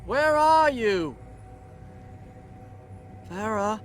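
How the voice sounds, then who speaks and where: An actor playing a proud, impatient young prince in a dramatic video game adventure. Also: male voice, high voice, lively intonation, extremely slow, faint music behind, full character voice. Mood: searching, exasperated.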